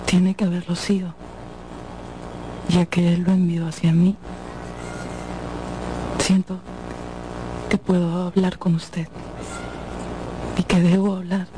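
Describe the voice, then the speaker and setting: An actress playing a proud, devoted fiancée in mourning, in an impassioned, halting radio drama delivery. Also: female voice, lowish voice, level tone, noisy background. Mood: solemn sorrow. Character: grieving bereaved fiancée, devoted, proud, desperate. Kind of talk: Spanish-language radio drama acting.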